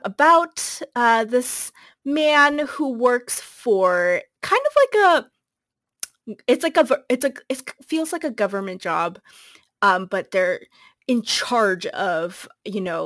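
A woman's voice: medium pitch, a bit bright, no echo, studio quality. A woman co-hosting a podcast, chatting casually about a book she recommends, with many ums and likes and frequent laughs. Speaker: podcast co-host.

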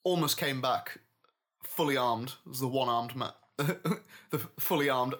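A man's voice: medium pitch, unhurried, a bit bright. Cheerful male co-host from Yorkshire. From Yorkshire, England. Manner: cheerful, easygoing with frequent laughs. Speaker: podcast co-host. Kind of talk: casual chat.

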